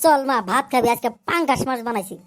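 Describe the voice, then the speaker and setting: A man's voice: very high voice, fast, fairly bright tone. A man voicing a bossy, boastful village mother in a comic cartoon, blustering and bawdy, with a rural Bangladeshi accent. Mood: advising.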